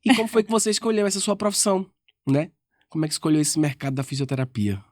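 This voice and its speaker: A man's voice: on the high side, lively intonation, fast, darkish tone, no room sound, clean studio sound. A man hosting a podcast interview, friendly and affectionate, often checking in with listeners with short tags. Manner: friendly and affectionate, ends many lines with "tá?". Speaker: podcast host.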